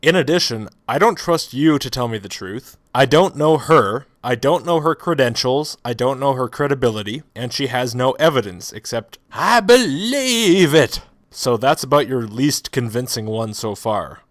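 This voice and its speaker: A man's voice: mid-range voice, expressive, no echo, clean studio sound, lightly acted. A man voicing a sarcastic, skeptical male scientist who fires off one argumentative rebuttal after another. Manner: argumentative, rapid-fire rebuttals.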